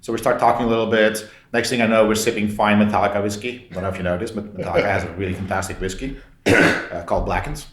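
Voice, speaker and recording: Echoey low-voiced man telling casual stories. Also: fairly even intonation, fast talker. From Belgium. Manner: informal, re-enacts dialogue with frequent "like". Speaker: podcast guest. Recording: roomy, echoey sound.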